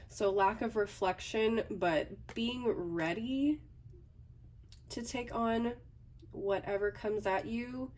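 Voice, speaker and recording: A woman doing a casual tarot reading, her voice a little raspy from a recent cold, often pausing with "okay" and "um". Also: a little high, darkish tone, distant mic, slight background noise. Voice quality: slightly raspy. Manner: thinking aloud with frequent "okay" and "um". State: hoarse from a cold. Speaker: tarot reader.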